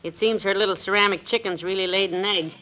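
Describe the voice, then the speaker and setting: A woman's voice: mid-range voice, steady tone, fast talker, pushed and bright, natural voice, low-quality recording. An actress playing a wry, folksy, long-suffering housewife who quips her way through a 1950s radio comedy. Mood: wry, amused. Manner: wry, homespun, quipping. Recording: old radio recording, faint noise.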